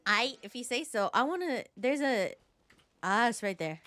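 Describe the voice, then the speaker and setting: A woman's voice: fairly high pitch, sing-song, normal speed, fairly bright tone. A woman giving casual, chatty live commentary on a game playthrough in a playful, giggly manner. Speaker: game streamer.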